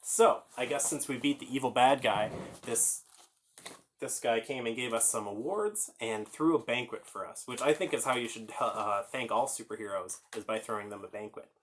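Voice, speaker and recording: A man's voice: mid-range voice, moderate pace, fairly bright tone, slight background noise. A man hosting a children's story time, reading aloud and chatting informally, explaining things and putting questions to the listeners.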